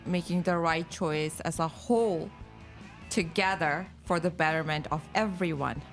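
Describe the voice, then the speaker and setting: A woman's voice: low-pitched, measured pace, faint music behind. A woman co-hosting a casual podcast, joking and laughing along. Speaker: podcast co-host.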